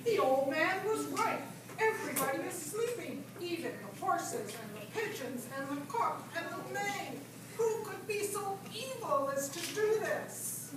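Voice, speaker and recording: A woman's voice: very high voice, lively intonation, unhurried, crowd noise. A woman playing a brave, earnest young prince with a bold, declamatory manner in a children's puppet show. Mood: wondering. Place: library event room, live show. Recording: distant, echoey, very noisy room.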